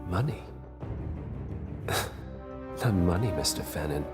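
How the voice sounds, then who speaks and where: An actor playing a grave, controlled envoy of a secret organisation, speaking in terse, deliberate, ominous phrases in a game cutscene. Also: male voice, low voice, animated. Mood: quiet menace. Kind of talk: dramatic game cutscene dialogue. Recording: loud music under the voice.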